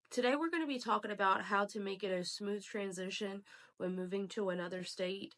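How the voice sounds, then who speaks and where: A woman with a fairly low voice, slightly hoarse and raspy from being sick, talks straight to her viewers. She hosts casually, handing out practical advice in a chatty way and leaning on emphatic words. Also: a bit bright. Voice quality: a little raspy. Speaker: realtor and channel host. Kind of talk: casual talk to camera, advice. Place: at home, indoors.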